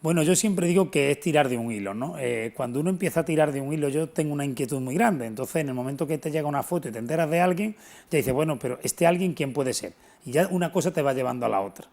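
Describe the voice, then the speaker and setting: Fast-talking middle-aged man, higher voice. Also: expressive, creaky, darkish tone. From Antequera, southern Spain. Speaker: interview guest, local historian. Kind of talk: unscripted television interview answers.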